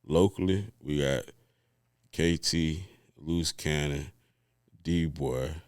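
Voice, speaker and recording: A man's voice: a bass voice, very slow, a little mellow, smooth, dry recording, clean studio sound. A man in his forties from Orlando, chatting casually as a DJ guest and thinking aloud with restarts. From Orlando, Florida. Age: 40s. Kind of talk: casual interview chat.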